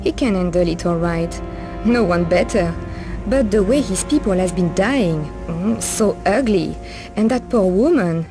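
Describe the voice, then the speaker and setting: A woman's voice: mid-range voice, expressive, a little slow, over music. A woman playing a world-weary, streetwise Parisian streetwalker in a video game, knowing, familiar and sardonic. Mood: uneasy. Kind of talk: video game cutscene acting.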